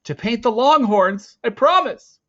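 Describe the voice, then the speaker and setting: A frightened storybook boy, acted out by a man, pitched far above a grown man's talk. He pleads in a flustered, insistent way. The recording is dull and lo-fi. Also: lively intonation, measured pace, sharp tone, full character voice. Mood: nervous insisting. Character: frightened teenage boy painter pleading his innocence. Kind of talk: storybook read-aloud character voice.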